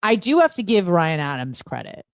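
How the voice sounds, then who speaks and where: A woman's voice: lowish voice, sing-song, moderate pace, creaky, dry recording, clean studio sound. A woman co-hosting a radio show, chatting casually and telling candid, gossipy stories with rambling asides.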